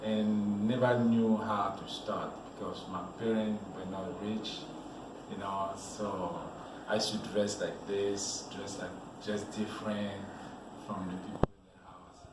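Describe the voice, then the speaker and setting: Male model from Cameroon, interview guest; low voice, loose storyteller, distant mic, roomy and noisy. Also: unhurried. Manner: relaxed, rambling storyteller with restarts. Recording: echoey room, voice from a distance, noisy background.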